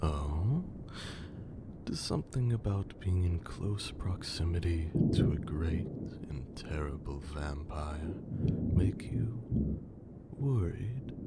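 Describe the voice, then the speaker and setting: Man playing a slow, low, whispering vampire lord. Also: animated, heavy background noise. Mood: teasing menace. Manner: theatrical, drawling, often breathy near-whisper. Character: haughty, menacing, teasing male vampire lord. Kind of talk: ASMR roleplay, scripted character acting.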